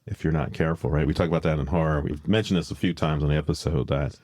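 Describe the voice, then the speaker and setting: A man's voice: extremely low pitch, fairly even intonation, fast, a little mellow, dry recording, studio quality. A man hosting a podcast, explaining things in a casual discussion and thinking aloud as he goes. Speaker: podcast host.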